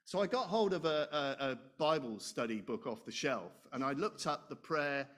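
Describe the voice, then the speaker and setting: A man preaching a sermon, loud and animated, chatting with asides and rhetorical questions. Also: fairly high pitch, normal speed.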